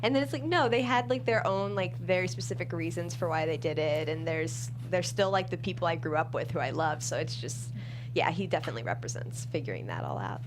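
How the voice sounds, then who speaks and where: Low-pitched American woman over clear hum. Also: fairly quick. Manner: informal, heavy use of "like". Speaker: screenwriter being interviewed. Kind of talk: casual interview chat. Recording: noisy room with clear hum.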